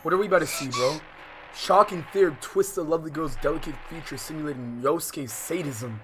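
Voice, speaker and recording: A man's voice: on the high side, brisk, a bit bright. A man livestreaming a game with slangy, profane, exasperated commentary, reading some of the text aloud as he goes. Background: guitar game music.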